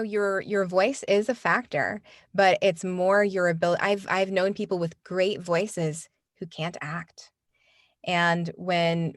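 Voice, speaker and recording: Woman voiceover coach, earnest, lowish voice, dry close sound. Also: dry recording. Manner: earnest, many restarts and fillers. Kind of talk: casual interview talk. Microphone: studio microphone.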